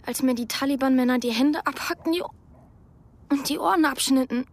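A young Afghan woman who has survived terrible harm, in a radio play. Her voice is breathy and fairly high. She speaks plainly and haltingly, in small simple phrases. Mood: wounded, matter-of-fact. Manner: short, simple, halting sentences. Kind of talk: radio drama acting.

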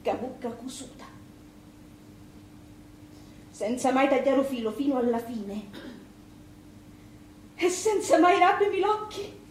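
A bitter, wounded Sicilian widow played by an actress pours out her grievances in a high, impassioned voice. Her Sicilian dialect moves between murmur and outcry on a theatre stage, in a roomy sound with faint noise. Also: animated, takes it slowly, long pauses, a little mellow. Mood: anguished, resentful. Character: bitter battered Sicilian widow, youngest of three sisters.

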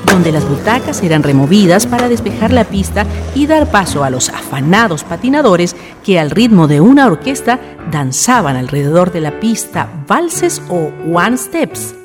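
A woman's voice: on the low side, very animated, moderate pace. A woman narrating a radio drama in a scripted storytelling style. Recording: music behind the voice.

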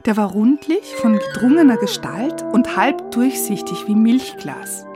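A woman's voice: a little high, big pitch swings, unhurried. A woman reading literary first-person narration for a radio feature, recorded as a close voice-over. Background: music.

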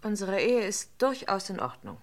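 An actress playing a guarded, subdued wife under questioning in a German radio crime drama, terse, reserved and measured. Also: female voice, medium pitch, very animated, lightly acted. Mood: cool, reserved.

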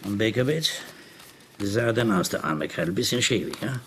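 Sly, lively aristocratic schemer. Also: male voice, fairly low pitch, moderate pace, slight background noise. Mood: sly, mocking. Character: sly Romanian aristocrat, small man, evasive fixer. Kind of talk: German radio crime drama acting.

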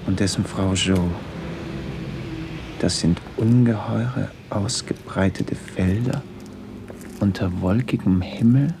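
Brooding writer, radio play monologue, fry in the voice, halting and wry, noisy ambient recording. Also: male voice, low-pitched, heavily acted. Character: brooding wandering writer, a man, wry and melancholy. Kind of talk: first-person literary radio play monologue.

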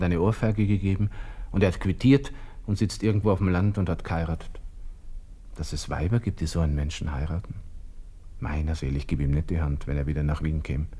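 An actor playing a proud, agitated young army lieutenant with a Viennese accent, talking to himself in a radio drama. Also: male voice, deep voice, warm tone. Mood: indignant. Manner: brooding, talking to himself in clipped bursts. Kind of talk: radio drama interior monologue.

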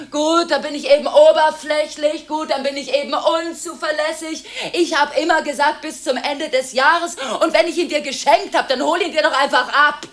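A high-voiced woman in a radio play, caught in restless phone calls. She is impulsive and contradictory, swerving between protest and brooding evasion, with the urgent manner of someone who cannot stop talking. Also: fairly bright tone. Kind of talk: German radio drama monologue, one side of phone calls.

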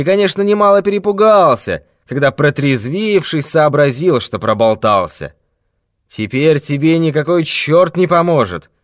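A man's voice: mid-range voice, dry recording, studio quality. A man doing a snarling, sneering robber. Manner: shouting threats, sneering. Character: menacing red-haired male robber, brutal and mocking.

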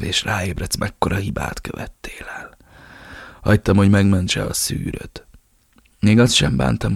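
A dying old crime boss, put on by a male narrator, speaking in a faint, breathy near-whisper with pride still in it. The voice is deep, gravelly and raspy, and the sound is close and dry. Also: no echo, full character voice.

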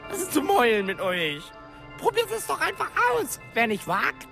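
A woman voicing a cheeky, impish little male magical servant in a radio drama, impudent and mock-courtly with a creaky voice. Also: fairly high pitch, wildly swooping pitch, normal speed, over music, full character voice. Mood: impatient, exasperated. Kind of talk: German radio drama acting.

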